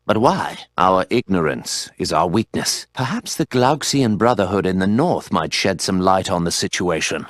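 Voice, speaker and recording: Deep-voiced male game narrator. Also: expressive, fairly bright tone. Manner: measured, poetic. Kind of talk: scripted storybook narration. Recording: voice over game music.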